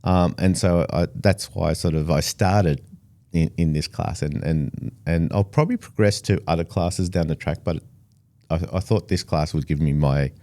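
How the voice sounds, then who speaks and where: A man's voice: low-pitched, moderate pace, warm tone. A middle-aged Australian man, first language Italian, talking casually as an interview guest with many fillers and restarts. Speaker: engineer guest.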